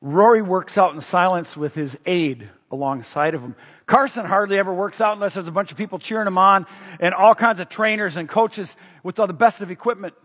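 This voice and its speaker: A man's voice: high-pitched, fairly quick, fairly bright tone. A middle-aged man preaching a sermon in a conversational way, often putting questions to the congregation. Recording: dull, reverberant hall sound.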